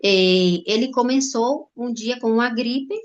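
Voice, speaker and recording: A woman with a Cuban accent, a native Spanish speaker, giving an informal testimonial haltingly, with frequent check-in tags. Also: fairly high pitch, steady tone. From Cuba. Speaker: guest speaker, doctor. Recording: dull lo-fi online-call audio.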